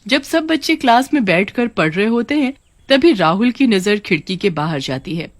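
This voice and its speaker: A woman narrating a scripted cartoon horror story in an even, storybook manner. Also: mid-range voice, moderate pace, no room sound.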